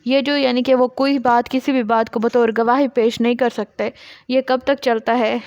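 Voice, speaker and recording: A woman giving a law lesson, reading out formal text and explaining it by posing and answering her own questions. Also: fairly high pitch. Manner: explanatory, poses questions and then answers them. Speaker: law lecturer.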